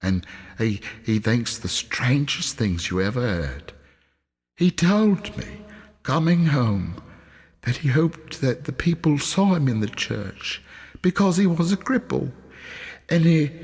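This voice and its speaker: Man acting out a gentle, wistful father, slow-spoken. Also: fairly high pitch, husky, voice pushed up, exaggerated voice. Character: gentle, tender poor father with a wistful heart. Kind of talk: audiobook character reading.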